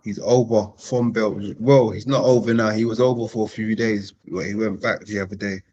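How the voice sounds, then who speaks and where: A man hosting a live-streamed panel chat. He talks casually, repeating phrases and giving slangy shout-outs to viewers. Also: fairly low pitch, steady tone, fairly quick, a little hiss or hum. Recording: dull, lo-fi stream audio.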